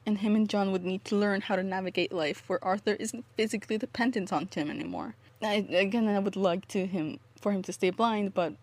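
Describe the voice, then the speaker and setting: A woman's voice: mid-range voice, a little mellow. A female-sounding listener recording a casual but prepared voice message, giving opinions in an earnest, reflective way.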